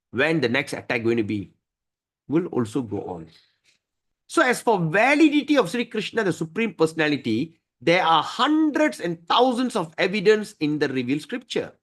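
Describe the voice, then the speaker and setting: A man's voice: medium pitch, fairly bright tone. A man teaching a scripture study class, emphatic and often asking his listeners "right?".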